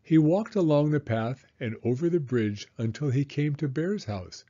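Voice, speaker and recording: A man narrating a children's storybook aloud in an expressive, measured storytelling manner. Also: a little high, lo-fi sound.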